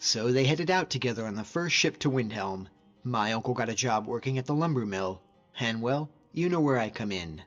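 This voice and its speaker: A man's voice: medium pitch, lively intonation, fairly quick, fairly bright tone, faint music behind, close to an everyday voice. Man acting plain-spoken hunter, slightly muffled game audio. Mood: fond, reminiscing. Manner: plain-spoken, informal, reflective. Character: hardy male hunter and frost mage, easygoing, sincere, reflective. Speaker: companion character in a fantasy game mod. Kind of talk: conversational scripted game character dialogue.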